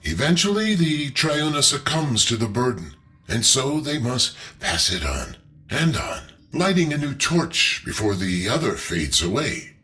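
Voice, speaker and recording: A man's voice: mid-range voice, sing-song, measured pace, sharp tone. A man playing a shrewd, eloquent scholarly strategist in a video game, speaking in formal, theatrical phrases. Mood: thoughtful, explanatory. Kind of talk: video game character acting.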